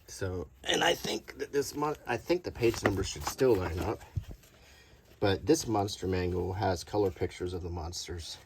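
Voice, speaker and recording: A middle-aged man doing a casual, unscripted show-and-tell as a video host, talking in a halting, rambling, conversational way. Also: sits low, lively intonation, a little hiss or hum. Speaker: YouTube host showing vintage tabletop game monster cards.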